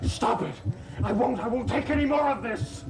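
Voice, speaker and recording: A woman's voice: fairly high pitch, moderate pace, lightly acted. Woman playing an anxious, intense young daughter. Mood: hysterical. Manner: intense, melodramatic. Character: anxious, accusing young daughter of a gothic household. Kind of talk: 1960s gothic soap opera acting.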